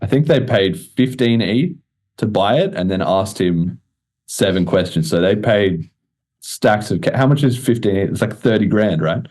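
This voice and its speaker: A man's voice: on the low side, lively intonation, normal speed, a little mellow. A man hosting a podcast, explaining things in a casual, informal way with the odd swear word. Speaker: podcast host.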